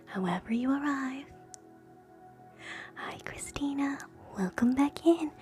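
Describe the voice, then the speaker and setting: A woman hosting live ASMR in a soft, breathy whisper, gentle and soothing, moving between guided relaxation and quiet chat. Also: high-pitched, slow, soft background music. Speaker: ASMR streamer, host.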